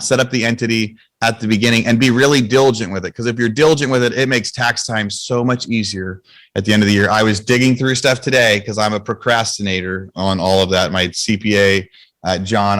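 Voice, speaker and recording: A man's voice: lowish voice, a bit bright. Chatty male podcast host. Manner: informal, chatty. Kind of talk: casual chat.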